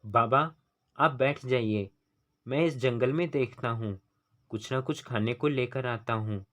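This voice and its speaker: A man's voice: medium pitch, unhurried, fairly bright tone, natural voice. A man playing a kind, humble young villager in a cartoon fable, speaking earnestly and plainly. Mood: thoughtful, helpful. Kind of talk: scripted cartoon story dialogue.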